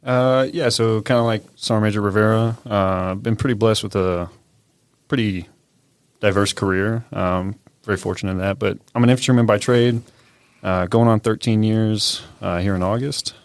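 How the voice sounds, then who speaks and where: A man's voice: fairly low pitch, fairly even intonation, moderate pace, clean tone, no room sound. A man in his thirties from California, a soldier talking casually as a podcast guest, easygoing and loose with frequent filler words. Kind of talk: casual interview talk.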